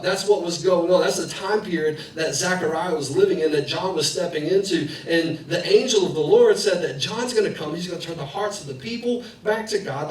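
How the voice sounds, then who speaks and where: A man in his thirties, a pastor giving a conversational sermon full of personal family stories. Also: high voice. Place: church sanctuary, preaching in the round. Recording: echoey hall, distant mic, faint noise.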